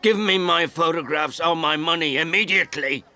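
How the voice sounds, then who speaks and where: A man's voice: a little high, moderate pace, pushed and bright, voice pushed up. A man voicing a stubborn old village farmer in a story, insistent and plain-spoken. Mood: angry, demanding.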